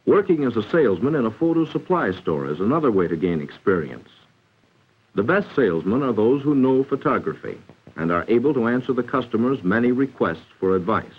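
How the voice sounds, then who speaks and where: A man's voice: medium pitch, very animated, fairly quick, breathy edge, muffled recording. An American man reading scripted 1940s educational film narration in a formal, instructive, carefully enunciated way, on an old film soundtrack. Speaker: narrator of a vocational training film.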